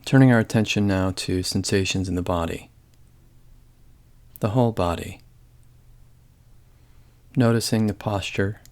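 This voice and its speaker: A man with a lowish voice leads a guided meditation. His delivery is calm and gentle. He speaks in measured phrases, with long silences between them. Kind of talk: guided body scan meditation.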